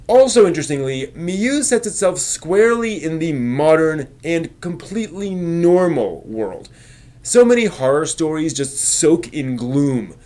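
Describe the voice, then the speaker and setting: A man hosting a review stream, reading scripted commentary with emphatic, measured phrasing and casual conversational asides. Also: on the high side, darkish tone. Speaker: host of an anime review live stream.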